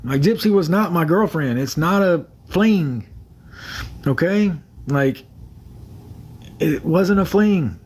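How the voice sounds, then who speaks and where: A man's voice: on the high side, lively intonation, takes it slowly, long pauses, darkish tone, slightly rough. A young man chatting on a phone livestream, rambling, repeating himself and thanking viewers by name. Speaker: livestream host. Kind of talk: casual livestream chat with viewers.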